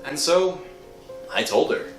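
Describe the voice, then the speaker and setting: Woman playing guilt-ridden husband, slow and swooping. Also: deep voice, animated, roomy sound, music in the background. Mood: haunted, brooding. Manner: halting, brooding, melodramatic. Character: guilt-ridden, desperate working-class husband narrating his crime. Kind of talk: old-time radio thriller narration and acting.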